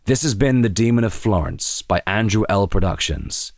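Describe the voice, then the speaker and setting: A man narrating a scripted audio drama in a measured, suspenseful storytelling manner. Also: fairly low pitch, dry recording, clean studio sound.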